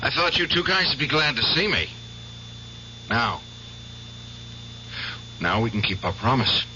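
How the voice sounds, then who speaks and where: An actor in a radio drama playing a haunted ex-prisoner of war bent on revenge, insistent and emphatic. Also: male voice, mid-range voice, very animated, measured pace, bright, forceful tone, heavily acted. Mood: urgent, pressing. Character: haunted, vengeful ex-prisoner of war, obsessed with killing. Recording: dull, hissy, humming old radio broadcast.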